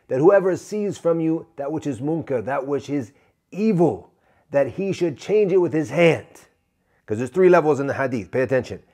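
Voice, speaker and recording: A middle-aged male preacher delivering an emphatic reminder at a brisk clip. His voice sits a little high, and he works Arabic phrases and quotations into his speech. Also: warm tone. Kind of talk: religious reminder talk.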